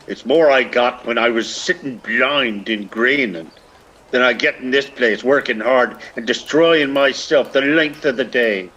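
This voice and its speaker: A man's voice: mid-range voice, a little slow, pushed and bright, husky, low-quality recording. An actor playing a ragged, lazy older peasant man who grumbles and needles in a lilting, theatrical radio play style. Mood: sly grumbling.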